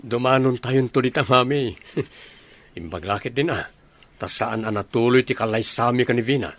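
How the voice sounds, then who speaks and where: A man's voice: medium pitch, moderate pace, fairly bright tone, close to an everyday voice. A man with an Ilocano accent playing an earnest, lovestruck young man and devoted son in a comedy radio drama. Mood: earnest, happy.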